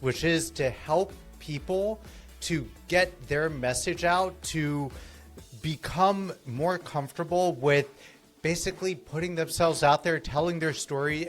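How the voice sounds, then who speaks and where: Man hosting a podcast, slightly high voice, earnest, faint music underneath. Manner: earnest, loosely phrased questions with restarts. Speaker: podcast host. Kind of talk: conversational podcast interview.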